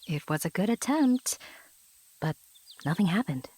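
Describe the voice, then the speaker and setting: A woman narrating an audio fairy tale as a gentle storyteller, with a breathy, hushed voice. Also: lowish voice, big pitch swings, unhurried, heavily acted. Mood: gentle wonder.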